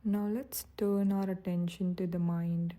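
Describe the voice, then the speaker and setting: A woman guiding a meditation in a soft, breathy, soothing and gentle voice, speaking without hurry. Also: on the low side, little pitch movement, a little slow. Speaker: meditation guide. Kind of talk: guided meditation narration.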